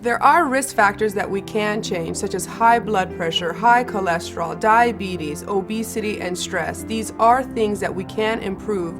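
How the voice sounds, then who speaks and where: A woman from a clinic's staff giving a clear, reassuring explanation of a treatment. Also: medium pitch, unhurried, hardly any pauses. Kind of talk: explanatory interview. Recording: music playing behind the voice.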